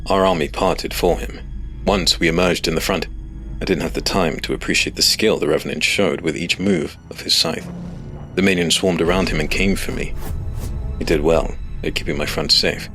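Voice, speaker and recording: Very deep-voiced man narrating fantasy. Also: fairly even intonation, normal speed, pushed and bright, faint music behind. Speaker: narrator reading as the story's first-person hero. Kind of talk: scripted dramatic fantasy narration.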